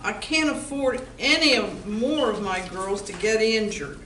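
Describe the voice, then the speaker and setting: A tough woman bar owner, played by an amateur actress in a radio play. Her voice is fairly high and very unhurried, with strong room echo. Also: lively intonation, a bit bright. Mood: businesslike. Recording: roomy, distant mic, faint noise.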